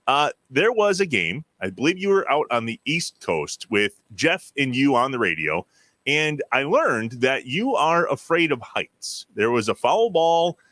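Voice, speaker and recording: A man's voice: mid-range voice, big pitch swings, a little slow, sharp tone, dry recording. An American man hosting a sports podcast, asking questions in a conversational interviewing style.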